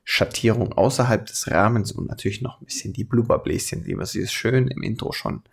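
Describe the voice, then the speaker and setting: Gravelly, husky man with a light Swabian accent. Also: on the low side, a little fast. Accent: southern German, light Swabian. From Swabia, southern Germany. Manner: colloquial, chatty, chuckles often. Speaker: tutorial host, airbrush artist. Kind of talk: casual hands-on tutorial commentary.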